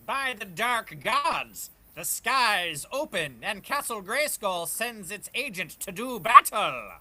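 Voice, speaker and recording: A man acts out a skull-faced comic book warlord, theatrical and gloating. The voice sits high for a man and is piercingly bright, with grandiose, archaic delivery. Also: very animated, takes it slowly, heavily acted. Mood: grandiose menace. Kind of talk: dramatic comic book table read.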